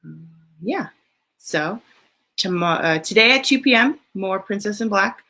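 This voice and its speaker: A woman hosting a children's story time, reading aloud and chatting informally, often thinking out loud as she goes. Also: medium pitch, animated, measured pace. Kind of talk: reading a picture book aloud, then casual chat to children.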